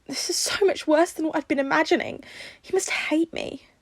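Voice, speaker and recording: A woman's voice: high-pitched, normal speed, creaky, no room sound, voice pushed up. A woman voicing a guilt-ridden, emotional aunt of a teenage boy in a story reading. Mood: tearful guilt. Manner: emotional, tearful, self-blaming.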